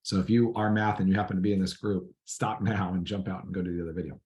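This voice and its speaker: A man with a raspy, hoarse voice giving a recorded lecture to student teachers, clearing his throat now and then. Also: deep voice, fairly even intonation, a little mellow. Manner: clears throat often, measured explaining tone. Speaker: teacher educator.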